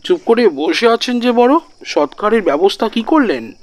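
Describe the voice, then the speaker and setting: Man acting an indignant, high-pitched neighbourhood elder. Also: expressive, normal speed, no room sound. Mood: indignant, scornful. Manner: brusque, scornful. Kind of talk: audio story character acting.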